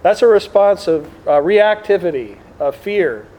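A man giving an informal religious talk to a gathering as a priest, reflective and halting, speaking up to be heard. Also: high voice, lively intonation, mellow. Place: indoor room with air conditioning. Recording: clear background air conditioner noise. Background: air conditioner noise.

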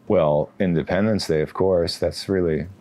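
A man's voice: low voice, steady tone, moderate pace, dark tone. A man hosting a podcast, introducing the show and chatting casually with short responses. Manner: brief encouraging interjections. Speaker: podcast host. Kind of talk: casual chat.

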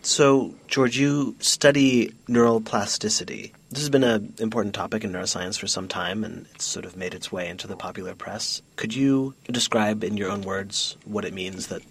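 A man's voice: on the low side, steady tone, moderate pace, few pauses, slightly rough. A man co-hosting a casual chat, asking curious questions and making light jokes. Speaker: podcast co-host.

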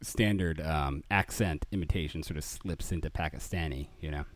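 A man with a very low voice hosts a casual comedic recap podcast. His delivery is wry and meandering, full of little self-corrections. The recording is close and dry, with no room sound. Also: moderate pace, no room sound. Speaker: podcast host.

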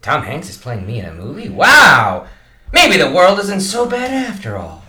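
An actor playing a burnt-out elderly male children's TV host in a comedy radio play, speaking softly and slowly. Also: fairly high pitch, wildly swooping pitch, roomy sound, heavily acted. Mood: weary. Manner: soft, slow and gentle, turning bitter.

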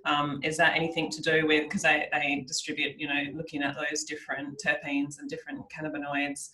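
A woman's voice: low voice, monotone, a little fast, pushed and bright, slight background noise, poor audio. A woman hosting a conversational podcast interview, asking questions with tentative, searching phrasing.

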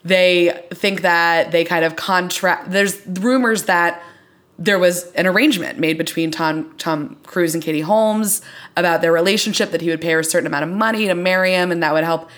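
A woman with a slightly low voice hosts a casual TV discussion podcast. She talks with open enthusiasm and leans hard on the words she cares about. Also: normal speed, a bit bright. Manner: enthusiastic, emphatic. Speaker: podcast host.

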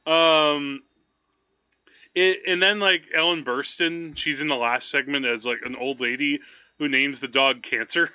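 A man co-hosting a movie podcast, talking casually in a halting, digressive, amused way. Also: on the high side, fairly even intonation, sharp tone, clear, resonant tone, no echo. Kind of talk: casual film review chat. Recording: slightly dull, lo-fi podcast audio.

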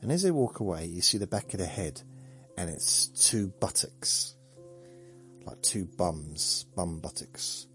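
Male host, very low voice, drowsy deadpan rambler, faint music bed. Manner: slow, meandering, deadpan humor. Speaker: podcast host. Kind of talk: rambling sleepy monologue.

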